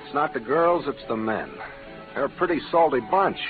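An actor as a protective cowboy companion. His pitch moves up and down a lot as he frets and cautions in folksy fashion. It sounds like a narrow-band vintage radio with clear music behind. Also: lively intonation, normal speed, lightly acted. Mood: cautioning. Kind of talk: 1940s-50s radio western acting. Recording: tinny old radio recording, music behind.

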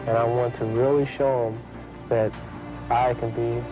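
A young man speaking earnestly and haltingly in an old post-fight interview. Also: mid-range voice, flat delivery, music in the background. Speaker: boxer interviewed. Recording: old distant archive interview clip.

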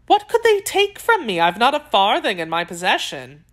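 A woman's voice: on the high side, wildly swooping pitch, normal speed, pitched above the performer's own voice. A woman voicing a secretive, imperious young Frenchwoman in an audiobook, speaking in a formal, emphatic and guarded way.

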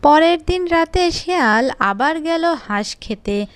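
A woman's voice: high voice, lively intonation, measured pace, very noisy. A woman reading a scripted children's fairy tale as the narrator of a cartoon story.

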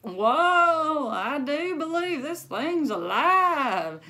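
A woman voicing a blustery, folksy old grandfather in a children's storybook, with drawn-out exclamations. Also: high voice, sing-song, pushed and bright, pitched above the performer's own voice, heavily acted. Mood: excited bluster.

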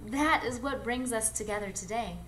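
A woman playing an alluring, ageless, mysterious adventuress in a live radio drama, speaking in a measured, coy, theatrical way. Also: a little high, fairly bright tone, natural voice. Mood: poised, confiding. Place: live performance space before an audience. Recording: noisy live stage recording.

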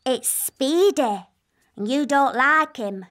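A woman's voice: high voice, animated, takes it slowly, fairly bright tone, heavily acted. A woman voicing a childlike, eager and headstrong little princess in a cartoon. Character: excitable, headstrong little princess, a young girl.

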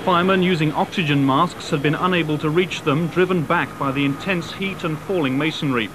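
TV reporter reading a news report. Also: male voice, a little high, fairly quick. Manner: even, matter-of-fact reporting. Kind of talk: scripted news voice-over. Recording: old TV news with background noise.

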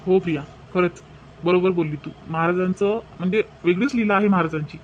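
A high-pitched, devout young cartoon husband acted by a man. He talks earnestly and reverently in a Vidarbha Marathi accent. The recording is dull with faint noise. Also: brisk, close to an everyday voice.

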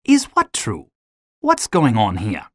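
A man playing a curious, good-natured middle-aged villager in an animated children's story, hearty and exclamatory. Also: on the low side, slow pace, no room sound, clean studio sound, full character voice. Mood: curious, puzzled.

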